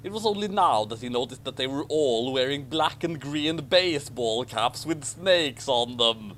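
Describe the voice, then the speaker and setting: A man reading a story aloud dramatically, with wry, deadpan asides. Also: medium pitch, moderate pace, a little hiss or hum. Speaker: reader of a comic fan fiction commentary.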